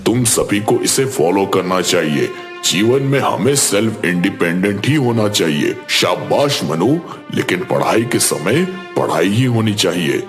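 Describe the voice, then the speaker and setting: A man's voice: deep voice, very animated, a little slow, faint music behind. A man playing a stern, authoritative schoolteacher who admonishes his class in a cartoon. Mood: approving, advising. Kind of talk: Hindi cartoon dialogue.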